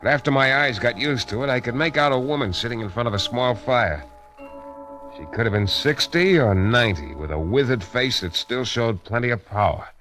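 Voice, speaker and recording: A man's voice: medium pitch, lively intonation, moderate pace, a bit bright, natural voice. An actor playing a wry, hard-boiled American private detective, narrating his case in a 1940s radio drama style. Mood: wry narration. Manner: wry, world-weary storytelling. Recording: old radio broadcast with music behind.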